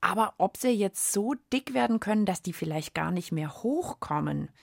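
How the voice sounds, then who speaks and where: A woman's voice: mid-range voice, lively intonation, moderate pace, vocal fry, darkish tone, no room sound, clean studio sound. A woman hosting a children's radio show, chatting playfully with her co-host and laughing often. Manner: giggly, cheerful, keeps things moving.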